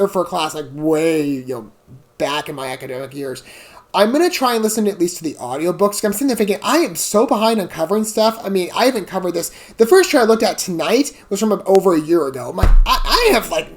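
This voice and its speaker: A woman hosting a reaction channel, giving casual, rambling commentary in a chatty, digressive way with apologetic asides. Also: medium pitch. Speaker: host of a video game trailer reaction channel.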